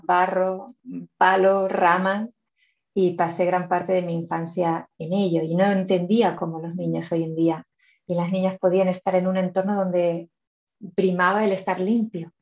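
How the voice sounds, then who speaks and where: A woman's voice: lowish voice, a little mellow, lo-fi sound. A middle-aged woman from Argentina, a podcast guest, speaking earnestly and reflectively, often repeating and restarting words. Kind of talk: reflective storytelling.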